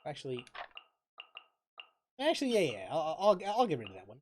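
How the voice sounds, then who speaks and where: A game streamer chatting casually while playing, thinking aloud, repeating phrases and breaking into loud exclamations. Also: mid-range voice, huge pitch swings, moderate pace, a little hiss or hum. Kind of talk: casual livestream chat and gameplay commentary.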